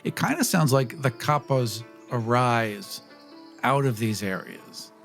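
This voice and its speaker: A man with a medium-pitched voice muses aloud in a casual podcast discussion. He sounds conversational and reflective, with faint music underneath. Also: animated, takes it slowly, creaky, fairly bright tone. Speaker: podcast panelist.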